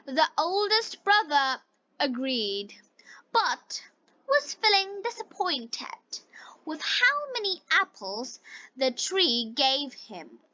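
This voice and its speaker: A woman with a bright, high voice delivers scripted narration for English learners. She goes slowly, in the manner of a teacher. The recording is dry and somewhat lo-fi. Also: big pitch swings, no room sound. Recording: dull lo-fi recording.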